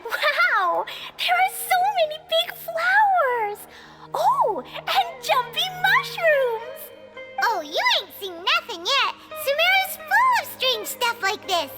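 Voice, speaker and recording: Very high childlike girl, excitable, chirpy, slow-paced, video game character, prominent music. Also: exaggerated voice. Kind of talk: video game cutscene acting.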